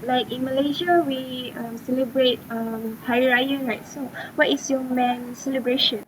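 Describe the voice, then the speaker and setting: A young woman, a student, reading out prepared interview questions in a measured way with short pauses. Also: high voice, steady tone. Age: young adult. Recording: clear background noise.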